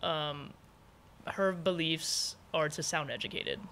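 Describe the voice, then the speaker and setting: A woman from Hawaii hosting a podcast, talking loudly and freely with plenty of opinions. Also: sits low, lively intonation, slow pace, creaky. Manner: loud, opinionated, talkative. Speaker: podcast host. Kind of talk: casual chat.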